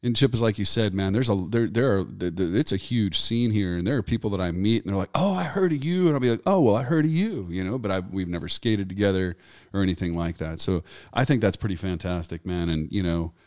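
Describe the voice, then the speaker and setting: Low-voiced host, 40s, loose and profane; dry close sound. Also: male voice, animated, quick pace, a little mellow, no room sound. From Texas. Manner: laid-back, profane, storytelling. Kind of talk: casual podcast conversation.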